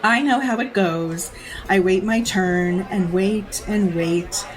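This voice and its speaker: A woman's voice: mid-range voice, slow pace, lightly acted, poor audio. An actress playing a jaded, predatory society woman in an audio drama, haughty and teasing with a theatrical drawl. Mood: catty, amused.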